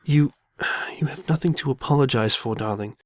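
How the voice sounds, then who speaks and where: A man's voice: mid-range voice, normal speed, no echo, studio quality, natural voice. A man voicing an elegant, genteel female pony with refined, theatrical and formal diction in a dramatic fan fiction reading. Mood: composed, concerned.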